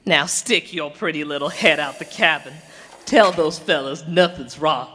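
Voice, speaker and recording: Gloating, drawling Western outlaw. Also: female voice, low voice, sharp tone. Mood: menacing. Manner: drawn-out, taunting delivery with chuckles. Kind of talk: Western radio drama acting. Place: theater stage. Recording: noisy stage recording in echoing hall.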